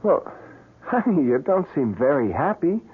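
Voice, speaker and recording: An American actor playing a stubborn, brash photographer who argues informally, in a radio drama. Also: male voice, fairly high pitch, very animated, moderate pace, slightly rough, full character voice. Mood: upbeat, coaxing. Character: stubborn, quick-tongued male photographer, an everyman hero. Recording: muffled old radio broadcast.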